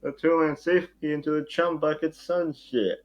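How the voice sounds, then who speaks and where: A man's voice: a little high, fairly even intonation, normal speed, soft background music. A man reading stage directions aloud in an amateur script read, sometimes stumbling over the words.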